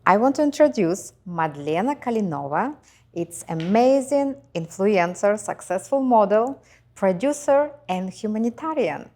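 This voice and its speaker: A woman hosting an interview, speaking in halting, simple phrases. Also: medium pitch, expressive, warm tone. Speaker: host.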